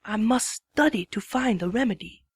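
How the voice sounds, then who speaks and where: Mystic rhyming zebra healer voiced by a woman, slow and measured, with a husky, breathy tone. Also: on the high side, no echo, pitched below the performer's own voice. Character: wise mysterious female zebra potion-maker who rhymes. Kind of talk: fan dramatic reading.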